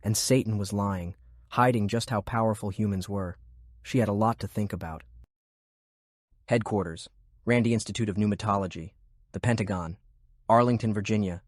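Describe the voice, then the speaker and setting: A man's voice: fairly low pitch, normal speed, long pauses, no echo, studio quality. A man reading scripted narration of military fantasy fiction as an audiobook narrator.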